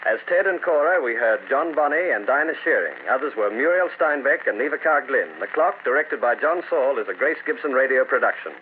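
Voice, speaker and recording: A man announcing credits in a brisk old time radio style. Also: mid-range voice, animated. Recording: noisy narrowband vintage radio recording.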